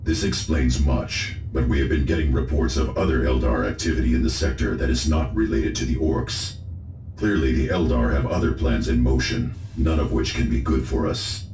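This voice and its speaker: Echoing, very deep solemn officer. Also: male voice, normal speed, darkish tone, slight background noise. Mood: grave, concerned. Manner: formal, grave, reporting. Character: solemn armored space marine advisor. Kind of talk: dramatic video game acting. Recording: echoing voice with music behind.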